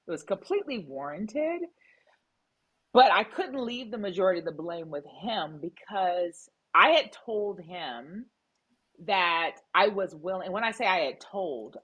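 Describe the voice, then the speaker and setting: A woman's voice: on the low side, big pitch swings, a little slow, a bit bright, husky, muffled recording. A middle-aged woman speaking as a guest in an interview, telling her story emphatically and with animation. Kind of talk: candid interview conversation.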